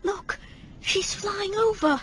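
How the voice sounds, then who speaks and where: A woman's voice: very high-pitched, slow pace, fairly bright tone, slight background noise, full character voice. An actress voicing an innocent, wondering little boy in an audio drama, asking simple wide-eyed questions. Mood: awed.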